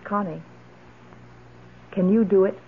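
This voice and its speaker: A woman's voice: medium pitch, fairly even intonation, takes it slowly, creaky, slightly rough, full character voice. An actress playing an elderly, tipsy woman, wistful and fond, in theatrical 1970s radio drama style. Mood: earnest, resolute. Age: older. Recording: muffled old radio broadcast.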